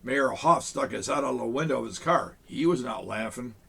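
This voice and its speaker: A man reading a children's picture book aloud, with chuckling, animated asides to young listeners. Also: mid-range voice, brisk, creaky, slightly rough. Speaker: storyteller in a Santa persona.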